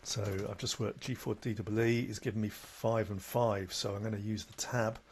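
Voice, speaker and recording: Low-voiced man giving a software tutorial, noisy recording. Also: flat delivery, moderate pace. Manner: instructional, conversational, with tag questions. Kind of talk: unscripted screen-recording tutorial. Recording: noticeable background noise.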